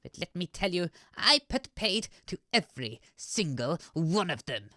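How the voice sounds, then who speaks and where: A woman's voice: lowish voice, very animated, a little slow, sharp tone, no echo, clean studio sound, full character voice. A woman playing a menacing granny baker with a criminal past in a comedy audio sketch, sweetly evasive with veiled threats. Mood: defiant, ruthless.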